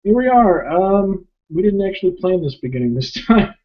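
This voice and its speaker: An American man with a slightly high voice co-hosts a casual discussion about film. He is from upstate New York and speaks conversationally. The sound is a bit dull and lo-fi. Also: sing-song, normal speed, a little hiss or hum. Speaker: movie review co-host. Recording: dull lo-fi recording.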